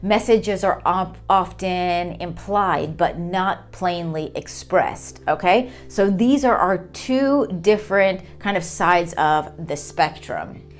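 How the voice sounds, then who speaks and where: A woman in her thirties explaining a topic in an educational video, talking clearly and gesturing as she goes. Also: on the low side, slow pace, faint music behind. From United States. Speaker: lecturer. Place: small room indoors.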